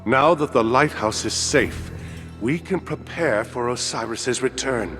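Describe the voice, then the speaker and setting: An actor voicing a devout cult keeper in a video game, reverent, portentous and theatrical. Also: male voice, mid-range voice, unhurried, fairly bright tone, faint music behind, lightly acted. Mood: grateful, hopeful. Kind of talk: video game cutscene acting.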